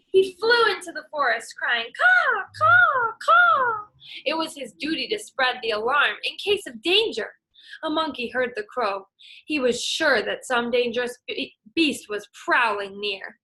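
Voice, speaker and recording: A woman's voice: high-pitched, pushed and bright, rough recording. A young woman teacher reading a picture book aloud to children, upbeat and encouraging, explaining words as she goes.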